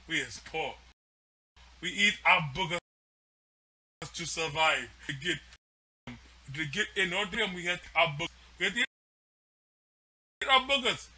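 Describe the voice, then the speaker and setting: A man voicing a poor, defiant male goat farmer from Uganda, speaking in halting, repetitive and profane bursts as a comic improvised impression. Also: fairly high pitch, sharp tone, pitched below the performer's own voice, exaggerated voice.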